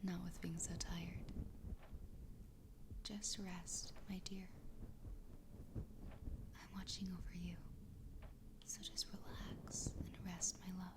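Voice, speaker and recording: A woman voicing a doting, possessive yandere fox girl wife in a soft, breathy ASMR whisper, tender and soothing. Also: fairly low pitch, little pitch movement, slow, long pauses. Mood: sweetly menacing. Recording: clear background ambience noise.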